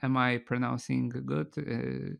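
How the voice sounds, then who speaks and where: A man hosting an interview in halting non-native phrasing, with many pauses and fillers. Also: mid-range voice, steady tone, vocal fry, clean tone, no echo. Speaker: interviewer, host. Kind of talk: casual interview talk.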